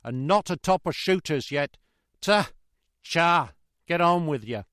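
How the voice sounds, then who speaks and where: A man's voice: fairly high pitch, expressive, moderate pace, a bit bright, no room sound, studio quality. A man voicing a vexed mail coachman in a storybook reading, exasperated and emphatic. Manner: exasperated, exclamatory.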